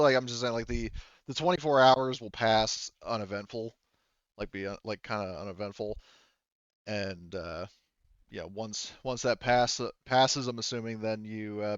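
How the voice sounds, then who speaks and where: A man running a tabletop game as game master, improvising in a halting, rambling, filler-heavy way. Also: lowish voice, clean tone, no echo, muffled recording.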